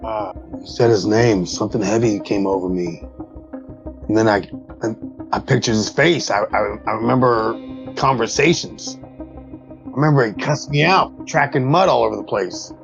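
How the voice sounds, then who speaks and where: A man playing a tabletop roleplaying game in character, in short, terse phrases with a gravelly, husky voice. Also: on the low side, moderate pace. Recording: dry, dull lo-fi audio, faint music.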